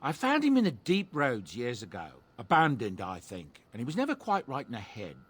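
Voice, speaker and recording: Kindly dwarf merchant: genial, folksy, lively rise and fall in the voice. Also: male voice, mid-range voice, brisk, breathy edge, heavily acted. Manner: friendly, folksy, musing. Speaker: game character.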